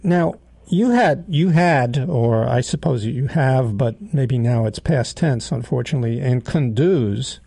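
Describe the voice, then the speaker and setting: A male radio interviewer with a gravelly, mellow voice. He asks probing questions in a hesitant way and often rephrases them partway through. The sound is dry and close. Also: mid-range voice, animated, a little slow, few pauses, no room sound.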